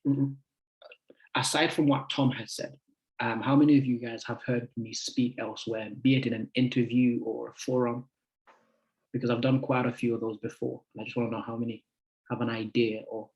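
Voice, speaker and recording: A man in his twenties giving a friendly, chatty talk to a group as a guest speaker, halting often with fillers. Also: mid-range voice, rough recording. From United Kingdom. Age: 20s. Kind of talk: casual talk to an online group.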